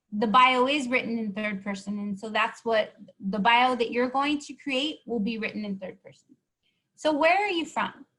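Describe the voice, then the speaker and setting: A woman with a fairly high voice runs a workshop for students. She explains things patiently and keeps checking in with her listeners. She sounds encouraging throughout. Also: a bit bright. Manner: encouraging, keeps asking "right?". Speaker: workshop presenter. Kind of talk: teaching a live online workshop.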